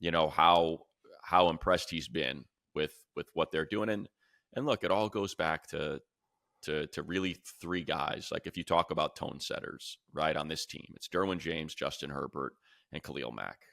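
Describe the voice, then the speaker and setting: A man hosts a sports talk show in a very low voice with a bright, forceful edge. The sound is dry and close. He speaks conversationally, slipping into other people's words as he makes his points. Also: normal speed, creaky, no echo. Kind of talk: casual sports talk and commentary.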